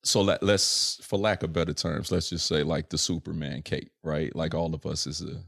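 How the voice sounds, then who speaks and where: A man's voice: extremely low pitch, steady tone, moderate pace, creaky, fairly bright tone, no room sound, studio quality. A young adult man from Chicago, a rapper talking as a podcast guest in an earnest, reflective way, often checking in with "right?". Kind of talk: casual reflective interview chat.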